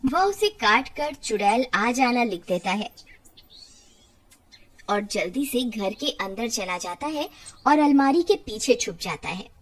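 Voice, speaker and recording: A woman's voice: fairly high pitch, very animated, takes it slowly, rough recording. A woman narrating a scripted horror story as a storyteller.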